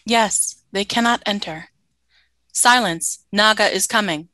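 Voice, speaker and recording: A woman plays an obedient female alien warrior in a radio play. She speaks formally in short, literal statements at a middle pitch. The recording is dry, with little room sound. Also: slow pace, bright, forceful tone, no echo, low-quality recording. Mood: dutiful.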